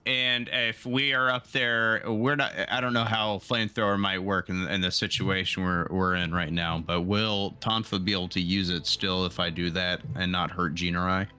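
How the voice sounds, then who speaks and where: A man's voice: deep voice, flat delivery, normal speed, few pauses, sharp tone, smooth. A man playing a tabletop game, casually asking about rules and describing what his character does. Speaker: player.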